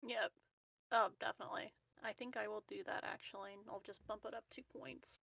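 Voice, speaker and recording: A woman's voice: mid-range voice, fairly even intonation, a little fast, gravelly, fairly bright tone, husky. A woman chatting casually as a player in a tabletop game. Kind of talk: casual gaming chat. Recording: distant from the mic.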